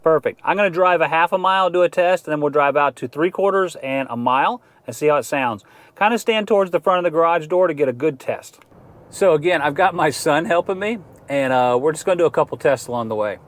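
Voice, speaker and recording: A man's voice: a little high, quick pace. A middle-aged man hosting a casual outdoor gear review in an informal, enthusiastic way. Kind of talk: casual product review vlog.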